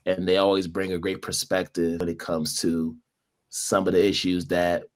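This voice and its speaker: Male podcast host, low voice, upbeat and welcoming, conversational. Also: level tone, normal speed. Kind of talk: conversational interview.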